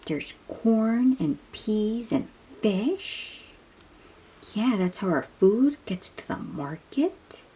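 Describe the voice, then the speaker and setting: A woman reading a picture book aloud to young children as host, putting questions to them and explaining things simply, often dropping into a breathy near-whisper. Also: medium pitch, very animated, extremely slow, warm tone.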